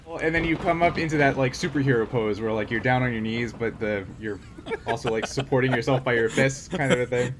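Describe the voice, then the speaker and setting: A man casually narrating a tabletop role-playing game as game master, improvising haltingly as he goes. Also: mid-range voice, background noise.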